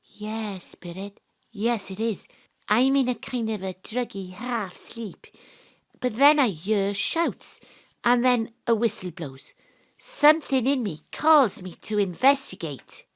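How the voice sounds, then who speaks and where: A woman's voice: a little high, animated, takes it slowly. An actress playing an earnest, troubled young Welsh woman who becomes a rugby captain, in an audio drama. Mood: haunted, remorseful. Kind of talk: audio drama monologue and dialogue.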